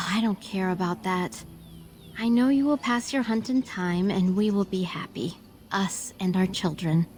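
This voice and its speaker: A woman's voice: medium pitch, measured pace, a little mellow, natural voice. A woman playing an earnest, lovelorn young elf in a fantasy video game, speaking in formal phrases. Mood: tender, sure.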